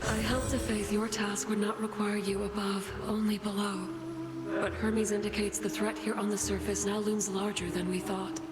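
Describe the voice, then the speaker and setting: A serene moon goddess from a fantasy game, played by a woman. Her hushed, formal speech keeps a very level melody in deep reverb. Loud music swells behind her. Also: level tone, normal speed, natural voice. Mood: solemn, serene. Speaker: actor voicing a game character.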